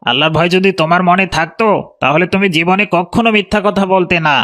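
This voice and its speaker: Man as a high-voiced, remonstrating cartoon man. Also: quick pace, fairly bright tone. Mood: stern. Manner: emphatic, remonstrating. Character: adult man, shopkeeper or stern father. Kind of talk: children's moral cartoon dialogue.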